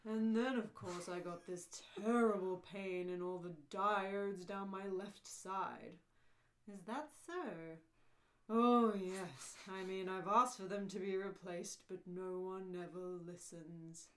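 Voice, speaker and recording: A woman's voice: medium pitch, fairly even intonation, slow pace, lightly acted. A woman reads a gloomy, self-pitying robot in a comic radio play. The delivery is resigned and mournful, a long-suffering complaint in a mid-range voice. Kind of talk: live amateur read of a comic radio play.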